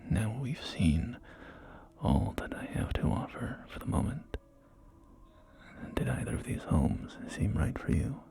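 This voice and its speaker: A man playing a polite, salesy post-apocalyptic real estate agent in a whispered, breathy ASMR roleplay, with courteous, hesitant pauses. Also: fairly low pitch, very animated, exaggerated voice. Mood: courteous, pleased. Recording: soft game music behind. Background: music and game audio.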